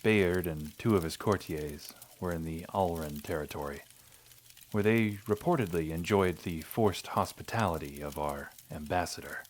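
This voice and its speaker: Man, deep voice, scripted story narration, audio drama narrator. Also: moderate pace, slight background noise.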